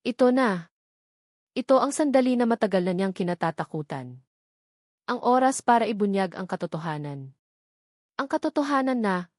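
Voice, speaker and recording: A woman narrating a scripted romantic drama story in a measured, dramatic storytelling manner. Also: medium pitch, darkish tone, dry recording, studio quality.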